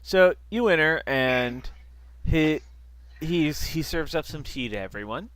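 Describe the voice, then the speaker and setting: A man running a tabletop game who chats casually and jokes with his players. His voice is bright and animated, and he speaks unhurriedly. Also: fairly high pitch, unhurried, dry recording. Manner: jokey, laughs often. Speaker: dungeon master and host.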